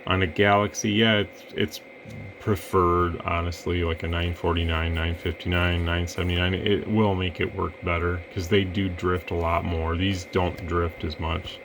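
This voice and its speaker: A deep, gravelly-voiced man talks through a radio test in a loose, rambling way. His pitch stays flat as he counts into the mic. A clear hiss of noise sits behind him in the workshop. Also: moderate pace. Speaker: radio shop owner testing gear. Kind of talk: casual product demo.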